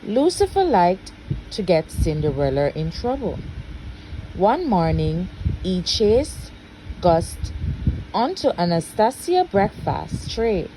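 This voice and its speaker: A woman reading a storybook aloud as a parent would at bedtime, expressive and deliberate. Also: lowish voice, noisy background.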